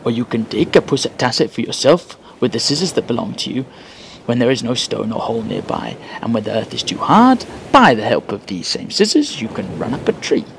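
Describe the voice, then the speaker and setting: A man voicing a little girl, gentle and matter-of-fact as she offers gifts. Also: medium pitch, sing-song, a little fast, creaky, slight background noise. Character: small girl, calm and generous.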